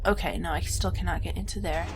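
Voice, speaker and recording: A woman's voice: fairly low pitch, fairly even intonation, fast, background noise. A young woman with a stuffed-up nose, thinking aloud casually while playing a game. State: stuffed up, sniffling. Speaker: let's play gamer. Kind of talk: casual gameplay commentary.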